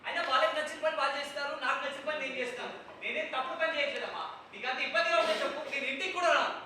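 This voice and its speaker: A man's voice: extremely high pitch, pushed and bright, slight background noise, heavily acted. A man playing a stubborn young villager who wants to make films, in a stage play. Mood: defiant. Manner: defiant, quick. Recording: echoey hall, far from mic.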